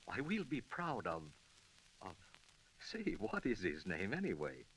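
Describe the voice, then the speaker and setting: An actor playing an anxious, tender new father in an old-time radio drama, halting and repeating his words. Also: on the low side, wildly swooping pitch, a bit bright, heavily acted, rough recording. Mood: proud, excited. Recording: old hissy radio recording.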